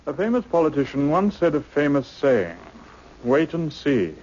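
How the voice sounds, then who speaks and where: An actor playing a self-assured, cautious British government official with a clipped, weighty manner in a radio drama. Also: male voice, a little high, sing-song, moderate pace, darkish tone, slight background noise. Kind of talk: old radio drama acting. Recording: muffled old-time radio recording.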